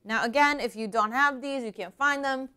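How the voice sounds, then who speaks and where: Clear, higher-pitched woman hosting cooking. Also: normal speed, pushed and bright. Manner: explains step by step in chatty asides. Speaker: cooking show host. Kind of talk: casual cooking demonstration. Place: home-style kitchen, indoors.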